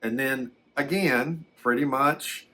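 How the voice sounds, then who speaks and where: A man playing a shaken, plain-spoken rural abductee, telling his story in a halting, rambling, folksy way as ASMR. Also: medium pitch, bright, forceful tone, low-quality recording. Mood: bemused, halting.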